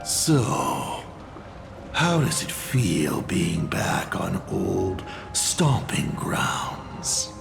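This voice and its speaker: A man's voice: low-pitched, big pitch swings, extremely slow, gravelly, music in the background, heavily acted. An actor playing a taunting, theatrical villain in a video game, drawling mockingly as he poses riddles. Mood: mocking, probing.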